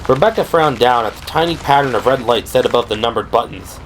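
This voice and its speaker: A man's voice: mid-range voice, expressive, fast. Male horror narrator over noisy ambience. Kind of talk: dramatic scripted narration of horror audio drama. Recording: clearly noisy background. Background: ambient sound effects.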